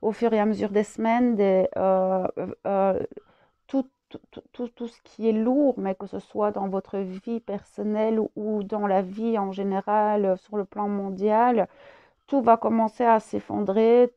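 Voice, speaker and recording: A woman's voice: medium pitch, steady tone, a little slow, muffled recording. A French woman with a head cold, channeling a spiritual message, hesitant with many fillers and repeated words.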